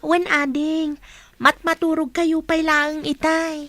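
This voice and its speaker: A woman's voice: high voice, flat delivery, takes it slowly, a bit bright, no room sound. A woman playing a dutiful, caring eldest daughter, a young girl, in a radio drama. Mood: caring, sisterly. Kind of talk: Ilocano radio drama acting.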